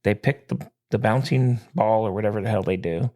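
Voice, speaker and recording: A man co-hosting a podcast, chatting excitedly about sports and swearing freely. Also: fairly quick. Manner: excitable, swears, lively swings in pitch. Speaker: podcast co-host. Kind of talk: casual sports chat.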